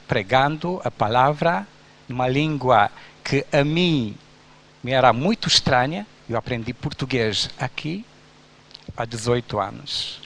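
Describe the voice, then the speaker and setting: A man's voice: medium pitch, fairly bright tone. A man from Romania, preaching in an earnest, rhythmic manner at a church induction service. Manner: slow, earnest, rhythmic listing. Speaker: pastor.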